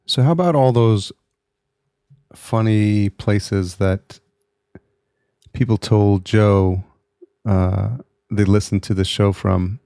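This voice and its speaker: A man hosting a podcast interview, friendly and halting, often restarting his sentences. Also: lowish voice, creaky, warm tone, no echo, clean studio sound. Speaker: podcast host. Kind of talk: casual interview chat.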